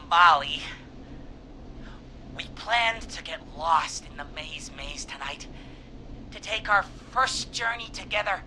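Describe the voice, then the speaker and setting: A man's voice: high-pitched, pushed and bright, a little hiss or hum, heavily acted, poor audio. A man playing an earnest, naive young lovesick caller to a radio show in a video game, dreamy and sappy.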